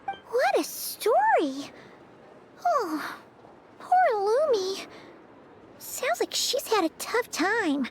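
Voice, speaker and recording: A woman voicing a small, cheeky, excitable fairy companion in an animated video game. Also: very high-pitched, drawn-out words, long pauses, very noisy, heavily acted. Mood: sympathetic. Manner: excitable, childlike, speaks of herself in third person. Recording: loud music under the voice.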